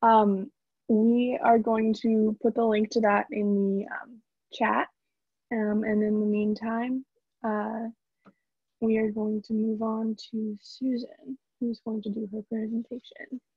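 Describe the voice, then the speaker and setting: A woman's voice: fairly high pitch, level tone, slow, breathy edge, no room sound. A young woman casually moderating a webinar from a small room, heard through thin, phone-like video-call audio. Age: young adult. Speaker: student host of a webinar. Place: small bedroom.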